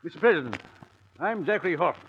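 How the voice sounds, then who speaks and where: An actor playing an earnest, polite lumber businessman in an old-time radio drama, speaking briskly. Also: a little high, animated, a bit bright. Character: earnest male lumber businessman visiting the president. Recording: dull, noisy old-time radio recording.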